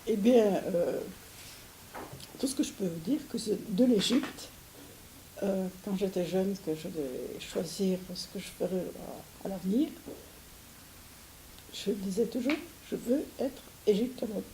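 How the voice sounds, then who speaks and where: Older woman from Syria, mellow and hesitant, faint hiss under the recording. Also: mid-range voice, expressive, a little slow, pauses often, low-quality recording. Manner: hesitant, with frequent restarts and soft laughs. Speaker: interviewee. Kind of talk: recorded interview.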